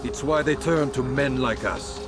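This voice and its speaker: A man's voice: medium pitch, a little slow, close to an everyday voice. An actor playing a proud, pious crusader king in a video game, speaking in a regal, declamatory way. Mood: probing, wry. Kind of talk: dramatic video game cutscene acting. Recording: music behind the voice.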